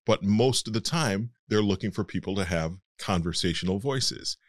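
Man, very deep voice, frank conversational explaining, dry close sound. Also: normal speed, dry recording. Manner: frank, matter-of-fact explaining. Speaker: voice actor guest. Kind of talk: interview answers, conversational.

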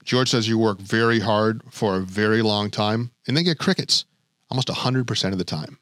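A man's voice: on the low side, moderate pace, fairly bright tone, dry recording, studio quality. A male podcast host narrating in a light, wry storytelling way between interview clips. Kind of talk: scripted narration.

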